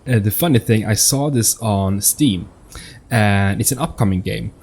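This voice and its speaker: Low-voiced man, casual gaming commentary. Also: unhurried, a little mellow, slightly rough. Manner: hesitant, repeats words, thinks aloud. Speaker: gaming YouTuber. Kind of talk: casual let's-play commentary.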